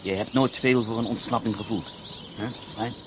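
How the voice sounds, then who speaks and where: An actor playing an escaped German prisoner of war, calm and matter-of-fact as he lays out his plan. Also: fairly low pitch, moderate pace, noisy background, natural voice. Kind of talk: Dutch radio play acting.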